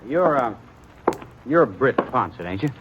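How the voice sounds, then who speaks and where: An American man playing a nervous, earnest, proud young suitor in a 1950s radio western, speaking with halting, tense rustic politeness. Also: medium pitch, very animated. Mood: nervous, respectful. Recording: dull, faintly noisy old radio broadcast.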